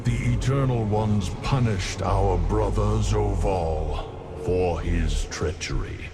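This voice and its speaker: A man playing an ancient, solemn immortal lord of death in a video game, speaking in a grave, portentous, measured manner. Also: deep voice, background noise. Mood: grave foreboding. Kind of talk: video game cinematic acting.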